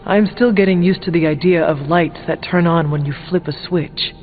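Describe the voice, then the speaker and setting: Man playing earnest, higher-voiced old-world hero. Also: natural voice. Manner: formal, measured wording. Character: earnest warrior hero displaced from an older time. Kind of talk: video game voice acting. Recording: thin phone-like game audio over music.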